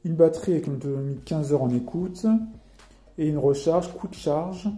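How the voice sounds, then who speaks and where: A man's voice: a little high, normal speed, warm tone. A man with a French accent casually walks through a product as a reviewer, reading out specs and explaining them as he goes.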